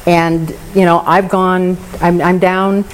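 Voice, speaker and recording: A woman's voice: on the low side, little pitch movement, slow, a little hiss or hum, rough recording. A woman speaking candidly and earnestly about her recovery, with touches of self-deprecating humor. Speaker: recovery meeting member. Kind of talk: personal recovery share.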